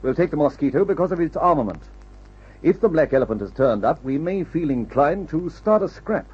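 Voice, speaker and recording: An actor playing a brisk, confident male flying adventurer who leads his team, in the style of a mid-century radio adventure serial. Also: fairly high pitch, expressive, slightly rough. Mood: brisk, assured. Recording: muffled, dull old radio serial recording.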